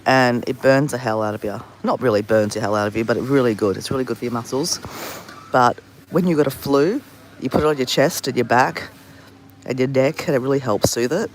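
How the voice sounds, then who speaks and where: A man's voice: mid-range voice, brisk, slight background noise, poor audio. A man with a sore throat and a nasal voice, chatting casually on a live-stream vlog from an outdoor beach, talking into a phone held at arm's length. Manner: chatty, rambling, greets viewers by name. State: sore throat, has flu. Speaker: travel vlogger.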